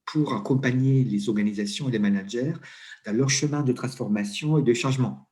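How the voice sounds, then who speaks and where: A middle-aged man with an Italian accent, speaking French as an interview guest and pausing often with fillers and restarts. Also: mid-range voice, a little mellow. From Italy. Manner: hesitant, many fillers and restarts. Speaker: interview guest, coach and HR consultant. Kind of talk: conversational interview.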